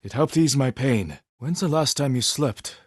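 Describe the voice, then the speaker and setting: An actor playing a stoic, honorable samurai warrior in a video game, weary and grave, speaking in a measured, restrained and protective manner. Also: male voice, mid-range voice, lively intonation, slightly rough, no room sound, studio quality. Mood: earnest, reflective. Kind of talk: dramatic video game voice acting.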